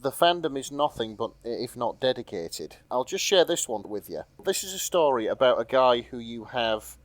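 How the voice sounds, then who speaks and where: A man's voice: mid-range voice, sing-song, normal speed, no echo. A man co-hosting a podcast in casual, sweary banter. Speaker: podcast co-host.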